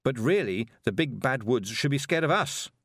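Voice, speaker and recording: A man's voice: medium pitch, animated, normal speed, a bit bright, no room sound, studio quality, pitched above the performer's own voice. A man voicing a headstrong young girl witch, impatient and scornful. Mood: defiant.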